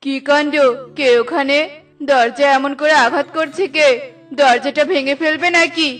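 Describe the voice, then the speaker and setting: Casting: proud older-brother bird, high voice, firm and indignant, played by a woman, slightly off-mic with music. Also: fairly even intonation, measured pace, a bit bright, recorded from a distance. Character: upright, stubborn male bird, sentimental older brother. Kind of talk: cartoon character voice acting.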